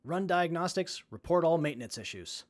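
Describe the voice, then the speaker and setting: An actor playing an earnest, keen young mechanic in an audio drama, with a slightly hesitant, apologetic manner. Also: male voice, fairly high pitch, lively intonation, normal speed, lightly acted. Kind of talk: post-apocalyptic audio drama.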